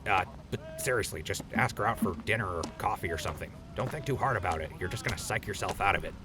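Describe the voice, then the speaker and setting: A man's voice: low-pitched, fast talker, over music, close to an everyday voice. A man playing an easygoing, teasing mentor and buddy in an audio drama, casual and joking. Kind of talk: post-apocalyptic audio drama acting.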